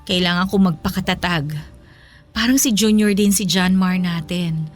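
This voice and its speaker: A woman's voice: fairly low pitch, moderate pace, soft background music, close to an everyday voice. An actress playing a loving, grateful young mother and wife in a Filipino radio drama, tender and emotional. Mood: warm, grateful.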